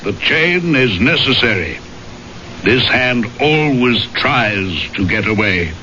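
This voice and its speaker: An actor playing a grave, courteous, fatalistic knighted gentleman in a radio drama, speaking formally and with measured menace. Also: mid-range voice, expressive. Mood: ominous. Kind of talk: 1970s radio drama acting. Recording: muffled, noisy old radio broadcast.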